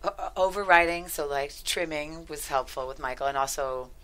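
A middle-aged woman talking casually as an interview guest, halting as she thinks aloud, on a headset mic in a studio. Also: sits low, steady tone, fairly bright tone, a little hiss or hum. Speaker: guest screenwriter. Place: small podcast studio, indoors.

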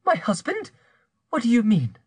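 A man's voice: very high voice, huge pitch swings, moderate pace, husky, no room sound, pitched above the performer's own voice, full character voice. A bewildered wife in a storybook drama put on by a male narrator. She speaks in a raised, swooping voice, full of dismayed questions and wounded jealousy. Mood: shocked, betrayed. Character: bewildered, jealous, betrayed pious young wife.

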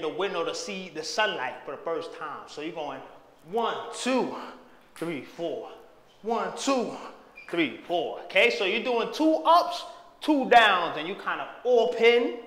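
A man's voice: high-pitched, roomy sound, big-room sound. A young man teaching dance moves, counting beats and calling out steps with energy while he dances, in a bare empty room. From Haitian background. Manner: energetic, hypes and shouts while dancing. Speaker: dance instructor.

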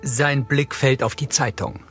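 A man's voice: mid-range voice, fairly even intonation, a little slow, fairly bright tone, breathy edge, soft background music. A man narrating scripted scene descriptions in a neutral, matter-of-fact way as an audio describer.

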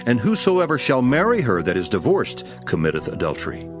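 An actor playing a solemn, authoritative male preacher in a dramatized King James Bible reading, declaiming in a measured, emphatic way. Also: medium pitch, wildly swooping pitch, music in the background.

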